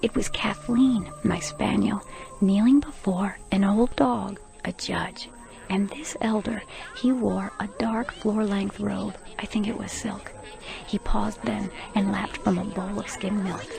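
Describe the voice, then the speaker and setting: Young girl narrator, creaky half-whispered voice, watchful, rhymed radio storytelling, faint music behind. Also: medium pitch, steady tone, unhurried. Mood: hushed, watchful. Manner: hushed, storytelling in rhymed verse. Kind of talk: scripted radio play narration.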